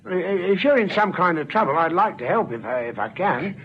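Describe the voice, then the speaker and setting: Casting: stammering, kindly, anxious male caretaker, animated intonation, muffled vintage radio drama with faint hiss. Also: high voice, a little fast. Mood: coaxing, anxious. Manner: hesitant, stammering, coaxing. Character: nervous, well-meaning married male block caretaker. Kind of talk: old radio drama acting. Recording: muffled old radio recording, faint noise.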